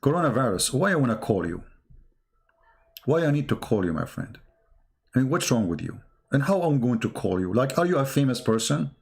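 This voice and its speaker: Arabic accented guy ranting on a livestream, creaky voice, blunt and combative, broken english. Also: medium pitch, very animated, a little mellow. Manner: blunt, combative, halting non-native English. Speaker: livestream host, religious polemicist. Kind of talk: casual livestream monologue answering chat comments.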